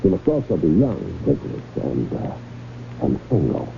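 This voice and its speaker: An actor playing a smooth, scheming gentleman con artist in a radio drama, with courtly, halting salesman's patter. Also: deep voice, wildly swooping pitch, exaggerated voice. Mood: smooth pitching. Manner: hesitant, courtly salesman's patter with deliberate pauses. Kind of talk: 1970s radio drama acting. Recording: muffled, faintly noisy old radio broadcast.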